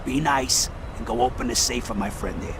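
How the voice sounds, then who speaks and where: A man playing a menacing, foul-mouthed gangster robber with a gravelly voice in a crime game. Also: medium pitch, fairly quick, background noise, close to an everyday voice. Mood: bossy, threatening.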